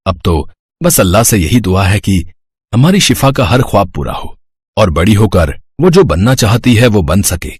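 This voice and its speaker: A man as a humble, devout village dad in a cartoon moral tale. He sounds low and husky, with expressive, wide-ranging intonation and an earnest, tender manner. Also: moderate pace, no room sound. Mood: proud, tender. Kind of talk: animated Hindi moral story acting.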